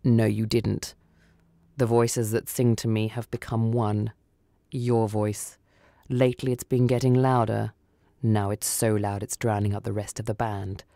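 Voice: medium pitch, little pitch movement, a little slow, vocal fry, warm tone, no echo, clean studio sound. A narrator voicing a menacing, intense man in a radio short story, speaking tersely. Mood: quietly menacing.

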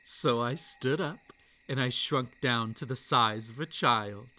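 A man voicing a possessive, gloating yandere kitsune monster boy in an intimate, hushed, menacing ASMR roleplay monologue. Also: medium pitch, slow. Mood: smug, gloating. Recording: night insect ambience behind the voice. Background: crickets and night insects.

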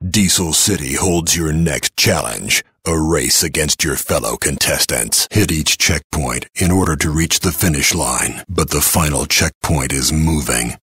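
An actor playing a menacing, taunting game announcer, theatrical and sardonic, with a gravelly raspy voice. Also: male voice, extremely low pitch, measured pace, hardly any pauses, fairly bright tone, dry recording, studio quality, heavily acted. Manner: ominous, sardonic, dramatic delivery. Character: menacing, taunting male arena announcer for deadly car combat.

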